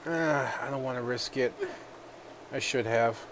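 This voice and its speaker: A man's voice: medium pitch, a little slow, slight background noise, low-quality recording. Man narrating gameplay while a little sick. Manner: relaxed, thinking aloud with many fillers. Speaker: gaming video host. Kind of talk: casual let's-play commentary.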